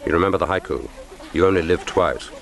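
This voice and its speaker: Quick-talking, wry male secret agent. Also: low-pitched, vocal fry, crowd noise. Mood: courteous, wry. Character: polite, adventurous male secret agent under a cover name. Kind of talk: radio drama acting.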